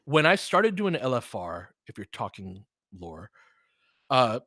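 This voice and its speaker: A man's voice: mid-range voice, big pitch swings, unhurried, fairly bright tone, no room sound, clean studio sound. A man hosting a sports podcast, chatting off the cuff in an earnest, rambling, freely profane way. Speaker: podcast host and sports play-by-play streamer. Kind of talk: unscripted casual chat with listeners.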